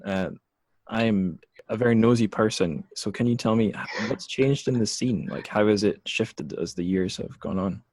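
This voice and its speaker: A man's voice: lowish voice, normal speed, darkish tone. A man hosting a casual interview, curious and a little hesitant, often interrupting himself as he asks. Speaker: podcast host.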